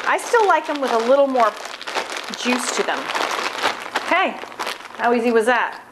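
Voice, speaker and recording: A woman's voice: fairly high pitch, lively intonation, normal speed. Chatty woman cooking in her kitchen. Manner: chatty and upbeat, talks while working with her hands. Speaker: cooking show host. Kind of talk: casual cooking demonstration. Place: large home kitchen, indoors. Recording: audible background noise.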